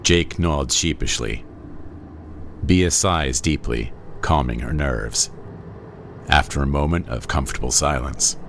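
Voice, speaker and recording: A man reading screenplay action lines in a measured, descriptive narration. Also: rumbling low, fairly bright tone, slight background noise.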